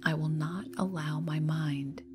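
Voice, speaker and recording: A woman guides a meditation in a low-pitched, half-whispered voice. Her delivery is very slow and soothing, with long pauses between phrases. Music plays clearly behind her. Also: fairly even intonation.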